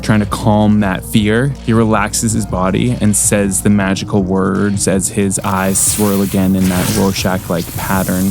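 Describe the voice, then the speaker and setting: Lowish male tabletop player, music behind. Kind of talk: in-game roleplay and description. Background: background music.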